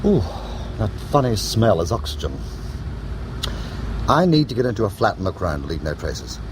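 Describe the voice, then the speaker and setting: An actor playing a wry, sardonic, quick-witted criminal schemer in a radio drama. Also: male voice, fairly low pitch, very animated, background noise. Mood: dry banter. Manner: sardonic, bantering. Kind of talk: radio drama acting and narration.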